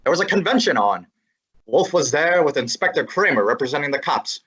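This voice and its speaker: A young man voices a wisecracking detective's assistant who talks in a breezy, wry, matter-of-fact way. His voice sits slightly high. The recording sounds a little dull and lo-fi. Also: sing-song, fairly quick, a bit bright, breathy edge, heavily acted. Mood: narrating. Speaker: student actor. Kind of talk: student radio drama acting.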